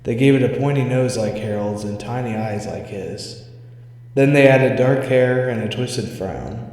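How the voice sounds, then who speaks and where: A man's voice: medium pitch, unhurried, a little mellow. A man narrating a scripted spooky story as a storyteller. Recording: echoey reverberant hall sound.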